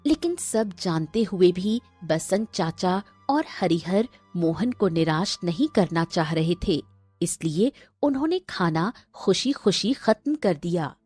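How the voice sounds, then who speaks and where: A woman's voice: fairly low pitch, lively intonation, takes it slowly, a little mellow, husky, no room sound, faint music behind. A woman narrating a scripted cartoon story.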